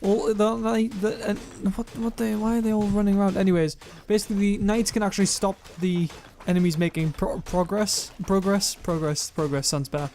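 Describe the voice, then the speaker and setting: A young man with a self-described monotone voice, rambling through gameplay commentary and viewer comments, often correcting himself mid-sentence. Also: high-pitched, fairly quick, few pauses. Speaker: gaming YouTuber.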